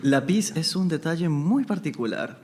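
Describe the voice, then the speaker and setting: Reflective man, measured and laughing. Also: a little high, animated. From Italy. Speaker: podcast guest. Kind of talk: casual conversation.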